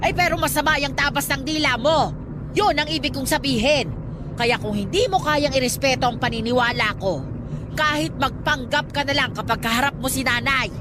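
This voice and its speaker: Radio drama aunt played by a woman: middle-aged, highish voice, pious, nagging, faint background noise. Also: normal speed, lightly acted. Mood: pleading, stern. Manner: fretful, nagging, reproachful. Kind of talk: Tagalog radio drama acting.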